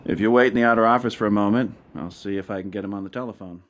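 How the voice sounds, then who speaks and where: An American actor playing a brisk, insistent criminal defense lawyer in a radio drama, assertive and persuasive. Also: male voice, fairly low pitch, close to an everyday voice. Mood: brisk, instructing.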